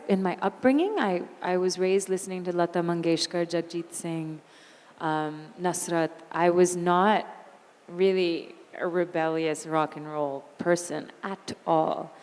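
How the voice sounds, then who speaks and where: A woman in her thirties with a raspy voice, speaking candidly as an interview guest and telling animated stories about her life. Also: fairly low pitch. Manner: candid, animated storyteller who laughs easily. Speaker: interview guest, singer and actor. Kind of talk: onstage conversation. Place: event hall. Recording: long hall reverb.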